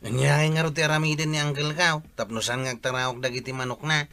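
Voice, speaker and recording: A man's voice: a little high, steady tone, quick pace, pushed and bright. A man with an Ilocano Filipino accent playing a wry, conversational villager in a comedy skit. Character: casual village man relaying neighbours' complaints. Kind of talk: amateur comedy skit acting.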